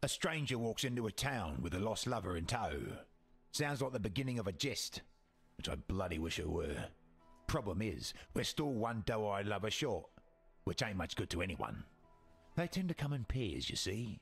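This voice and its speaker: An actor playing a gruff, sardonic rogue in a video game, with a gravelly, husky voice and a wry, colloquial manner. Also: male voice, lowish voice, sing-song, normal speed, full character voice. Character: gruff, sardonic rogue guarding a slum town. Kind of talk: scripted game character dialogue. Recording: music behind the voice.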